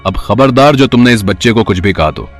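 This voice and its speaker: Male actor as caring fatherly gentleman, emphatic, cartoon dialogue, expressive melody, soft music behind. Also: on the low side, brisk. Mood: indignant. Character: kind-hearted, protective man befriending a poor boy. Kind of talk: acted cartoon story dialogue.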